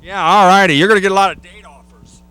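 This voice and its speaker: Male host, joking, chatty, bright voice, slightly high, outdoors on a trail. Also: fairly even intonation, quick pace, smooth, low-quality recording. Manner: jokey, chatty. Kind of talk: casual chat.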